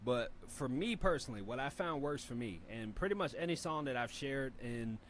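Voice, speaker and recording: A man with a deep voice hosting a rap review show, giving loose, rambling feedback with lots of fillers and the odd curse. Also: expressive, normal speed, a little hiss or hum. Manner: laid-back, rambling, profane, lots of fillers. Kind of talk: casual spoken feedback and mixing tips.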